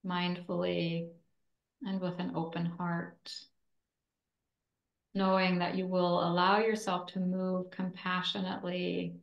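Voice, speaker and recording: A woman instructor leading a guided yoga relaxation in a soothing, gentle, deliberate way with long pauses between phrases. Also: lowish voice, level tone, gravelly, echoey room, highs cut off.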